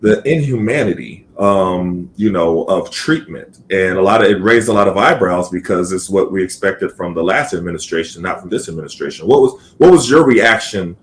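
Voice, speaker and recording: A man's voice: deep voice, lively intonation, normal speed, hardly any pauses, slight background noise. A man hosting an interview podcast, asking long, earnest questions with many fillers. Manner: earnest, lots of fillers and restarts. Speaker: podcast host.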